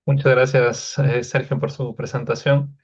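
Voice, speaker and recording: A man moderates a panel and hands over to the next speaker, pausing on fillers as he goes. His voice comes through a dull, lo-fi recording. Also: mid-range voice, level tone. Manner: hesitant, many fillers. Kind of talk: introducing speakers. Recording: dull lo-fi sound.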